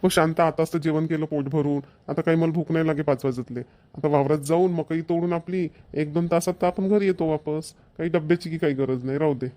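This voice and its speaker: Guy doing easygoing cartoon farmer husband, middle-aged, slightly high voice, homely varhadi village accent. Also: fairly even intonation, brisk, dark tone, natural voice. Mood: agreeable, easygoing. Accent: rural Maharashtrian (Varhadi). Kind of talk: Marathi cartoon comedy voice acting.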